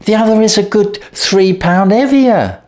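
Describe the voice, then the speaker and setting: A man reading a story and putting on a higher voice for a brisk, slightly huffy middle-aged countrywoman. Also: darkish tone. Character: fussy middle-aged countrywoman selling geese.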